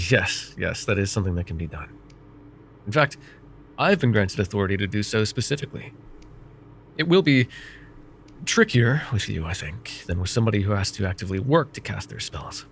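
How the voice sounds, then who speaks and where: A man voicing a vain, wry, middle-aged male mage who counsels a student in an ASMR roleplay, speaking in a calm, measured and gently teasing way. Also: fairly low pitch, gravelly, a little hiss or hum. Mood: calm reassuring. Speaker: voice actor.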